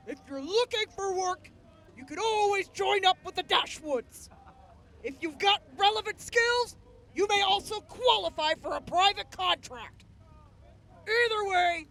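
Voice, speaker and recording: An actress plays a woman militia officer with a very high, bright voice. She is composed and courteous, and speaks formally, as in an audio drama about war. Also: lively intonation, exaggerated voice. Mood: businesslike, courteous. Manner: formal, measured, polite.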